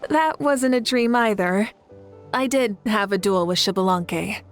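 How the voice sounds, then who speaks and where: A woman's voice: medium pitch, a little slow, soft background music, lightly acted. A woman voicing a confident, regal female fire goddess who leads a feast in a game cutscene, speaking in a proclaiming manner. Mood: reassuring. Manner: warm-hearted, regal, proclaiming. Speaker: archon leading a celebration feast. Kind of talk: video game cutscene voice acting.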